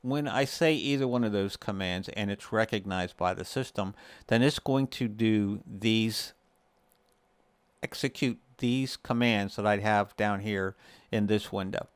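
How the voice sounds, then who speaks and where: A man's voice: lowish voice, a little slow, clear, resonant tone, dry recording. A man hosting a gaming tutorial, explaining things step by step in a casual, conversational way. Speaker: gaming video host. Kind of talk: casual screen-share tutorial.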